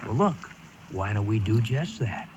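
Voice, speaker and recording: An actor playing an eager, excitable man in a 1980s radio drama, stammering with boyish enthusiasm. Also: lowish voice, unhurried. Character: eager, excitable man rallying a stranded group. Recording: dull, noisy old radio recording.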